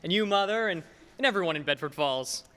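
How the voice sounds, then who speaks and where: A man's voice: sits high, lively intonation, fast talker, a bit bright. A man playing an eager, affectionate young son full of wanderlust in a stage radio play. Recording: reverberant hall stage recording.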